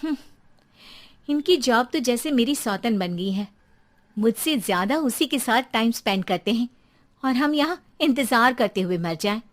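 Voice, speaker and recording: A woman voicing an anxious, feisty young married housewife in an animated horror-comedy story, with dramatic, sharp-tongued delivery. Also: a little high, normal speed, slight background noise, lightly acted. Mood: resentful.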